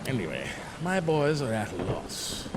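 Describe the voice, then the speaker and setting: A man playing a pompous, jovial older gentleman in a comedic gothic horror audio drama, hearty and old-fashioned in manner. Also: medium pitch, wildly swooping pitch, a little slow. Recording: echoey reverberant room with background noise.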